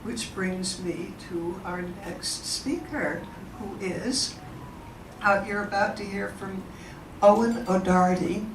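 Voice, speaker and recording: A woman's voice: mid-range voice, slow. A woman moderates a live event, chatty and eager, often turning to the audience with questions. She is recorded from a distance in a large, echoing room, with a constant background noise under her voice. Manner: chatty, enthusiastic, engages the audience. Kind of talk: informal presentation to an audience.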